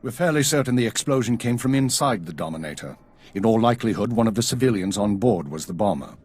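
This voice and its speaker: A male actor playing a commanding, weary middle-aged intelligence chief in a video game, husky and brisk. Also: on the low side, lively intonation, darkish tone. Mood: calm, measured. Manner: brisk, authoritative.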